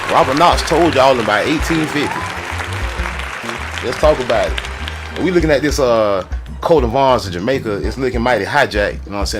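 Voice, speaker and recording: Baritone man, excitable ranting host, slangy banter, music underneath, mid pitch. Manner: excitable, joking, slangy and profane. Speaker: streamer host. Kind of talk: loud ranting commentary.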